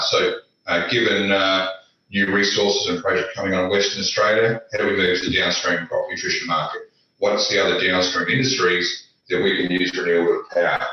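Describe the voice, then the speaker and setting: A man speaking as a panelist at an industry conference, halting, with many filler sounds and restarts. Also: deep voice, sharp tone. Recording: echoey, dull lo-fi audio.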